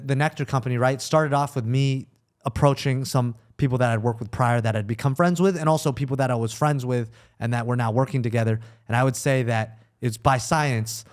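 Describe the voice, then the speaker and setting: A man chatting casually as a podcast guest, explaining his thoughts earnestly with small pauses. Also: medium pitch, darkish tone. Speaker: podcast guest, content business founder. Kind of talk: casual chat.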